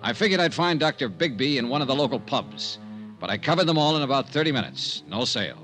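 An actor playing a tough, wry investigator, clipped and matter-of-fact, in a 1950s radio detective drama. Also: male voice, medium pitch, very animated, quick pace, over music, natural voice. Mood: wry, hard-boiled. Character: tough, wry male insurance investigator narrating his case.

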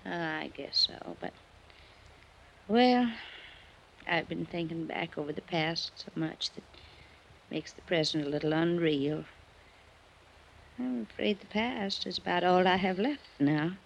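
Fragile widow, very slow and creaky, dreamy and sentimental, vintage radio serial. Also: female voice, lowish voice, expressive. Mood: dreamy, wistful. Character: wistful, fragile widow lost in memories, female. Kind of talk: 1950s radio drama acting. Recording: noisy old radio serial recording.

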